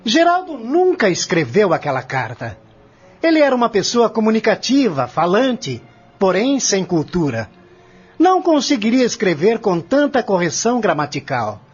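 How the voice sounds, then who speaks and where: A man's voice: sits high, huge pitch swings, moderate pace, slightly rough, heavily acted. A man playing a confident, relentless lawyer in an audio drama, arguing in a formal, articulate, declamatory way. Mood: accusing, firm. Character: confident prosecuting lawyer, relentless, methodical, formal courtroom orator. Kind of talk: audio drama acting, courtroom scene. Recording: dull lo-fi audio with music underneath.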